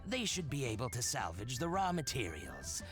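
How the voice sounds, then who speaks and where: A flustered shopkeeper, wildly swooping pitch. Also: male voice, wildly swooping pitch, moderate pace, music in the background. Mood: puzzled, accommodating. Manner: flustered, stammering, deferential. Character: nervous, eager-to-please male shopkeeper with deferential manner. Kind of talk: video game voice acting.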